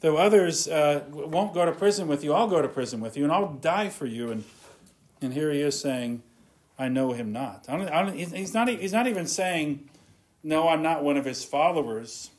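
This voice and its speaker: A man's voice: a little high, lively intonation, normal speed. A man preaching an earnest sermon, reading scripture and retelling it in his own words. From not from Scotland.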